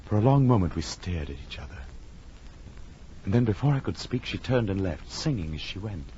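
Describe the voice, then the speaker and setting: Uneasy gentleman, deep voice, formal and dramatic, 1940s radio horror, muffled recording. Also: expressive, brisk. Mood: dread, brooding. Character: uneasy, well-bred gentleman narrating a gothic horror visit. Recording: muffled, faintly noisy old radio recording.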